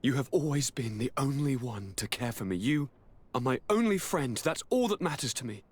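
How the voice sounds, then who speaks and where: A man's voice: mid-range voice, animated, moderate pace, a little mellow, husky. An actor playing a needy, anguished young aristocrat in a video game, theatrical and formal in his delivery. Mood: tender, scheming. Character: sickly, anguished young male aristocrat governor, melodramatic and needy. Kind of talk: dramatic video game acting.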